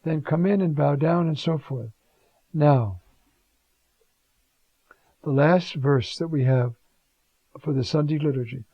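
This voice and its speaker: A man's voice: on the high side, slow, long pauses, dry recording, lo-fi sound. A man who is a priest, preaching on the Sunday readings in a conversational way, often adding "you see" and "uh" between thoughts.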